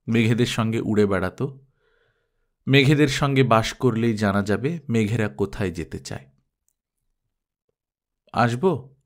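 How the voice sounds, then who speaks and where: A man narrating a story from a script as an audiobook reader. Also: medium pitch.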